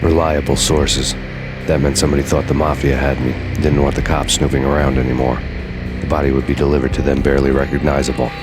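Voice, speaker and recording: An actor playing a world-weary, framed man, narrating a noir video game in a brooding, deadpan, sardonic way. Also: rumbling low, fast talker, over music. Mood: grim, brooding.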